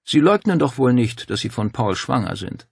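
A male narrator acts an unruffled cult master in an audiobook, declamatory and smugly certain. The voice is lifted above plain narration, with a husky grain. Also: mid-range voice, sing-song, moderate pace, no echo, clean studio sound, voice pushed up, heavily acted. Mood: smug, insinuating. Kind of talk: dramatic audiobook dialogue.

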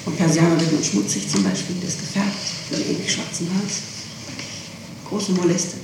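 A woman's voice: fairly low pitch, sing-song, fairly quick. A woman answering questions in an interrogation, defensive and insistent, often repeating herself. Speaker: interrogated witness. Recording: distant, very echoey, noisy archival tape.

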